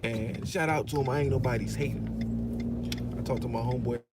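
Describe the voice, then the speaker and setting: A man's voice: medium pitch, brisk. A man talking in a loose, casual monologue, swearing freely and filling his talk with "you know what I'm saying.". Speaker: commentator. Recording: steady loud road and vehicle noise.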